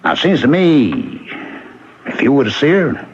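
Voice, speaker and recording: An actor in a 1970s radio drama playing an earnest former hobo, insistent and pleading in manner. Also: male voice, mid-range voice, sing-song, normal speed, natural voice. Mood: pleading, exasperated. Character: earnest, persistent working man, former hobo. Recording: dull, noisy old radio recording.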